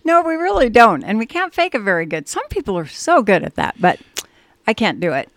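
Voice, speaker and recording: A woman's voice: on the high side, big pitch swings, fairly quick, a bit bright. A woman co-hosting a radio show, chatting and reading ads in an upbeat way with bursts of emphasis. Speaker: radio co-host.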